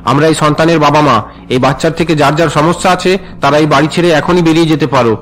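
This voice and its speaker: A man's voice: a little high, steady tone, racing, fairly bright tone, lightly acted, poor audio. A man voicing an earnest, protective young husband and father in a cartoon family melodrama. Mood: indignant. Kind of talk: Bengali cartoon melodrama dubbing.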